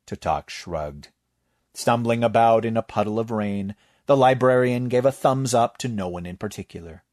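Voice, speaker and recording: A man reading a story aloud in measured, scripted narration. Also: mid-range voice, a little mellow, dry recording, studio quality. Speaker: story reader.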